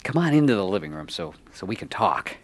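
A man's voice: lowish voice, big pitch swings, quick pace. An actor playing an earnest, insecure man struggling with addiction in a radio drama, sometimes hesitant and defensive. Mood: tender. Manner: hesitant, stammering in dialogue. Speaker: lead actor and narrator. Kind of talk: radio drama acting and first-person narration.

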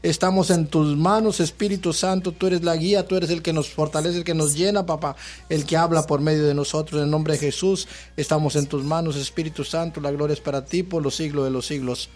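A man's voice: a little high, fairly even intonation, brisk, a little mellow. A male pastor leading a fervent spoken prayer, addressing God as father.

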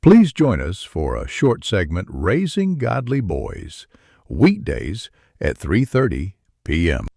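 Deep, gravelly, slow male announcer. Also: huge pitch swings, a little mellow, no room sound, studio quality. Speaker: station announcer. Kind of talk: radio station announcement.

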